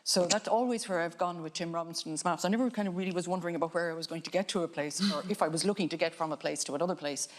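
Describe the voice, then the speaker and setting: An Irish woman, a filmmaker on a panel, giving a reflective talk in a musing, halting way with frequent restarts. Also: fairly low pitch. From Ireland.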